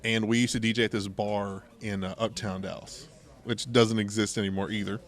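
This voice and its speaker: A man's voice: lowish voice, flat delivery, fairly quick. A middle-aged American man hosting a podcast, telling stories conversationally with plenty of digressions and asides. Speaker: podcast host. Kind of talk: casual storytelling and banter. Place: local game store.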